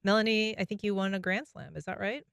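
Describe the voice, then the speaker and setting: A woman hosting a public radio interview, asking questions in a smooth, curious, conversational way. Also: mid-range voice, steady tone, fast talker, fairly bright tone, no echo, studio quality. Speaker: radio show host. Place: radio studio.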